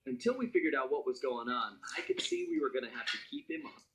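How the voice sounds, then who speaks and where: A man's voice: medium pitch, big pitch swings, fast talker, fairly bright tone, a little hiss or hum, rough recording. An American man voicing a cool, loyal young master thief who narrates his adventures in a wry, conversational storytelling way for a video game cutscene. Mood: wry, determined. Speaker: narrator and hero.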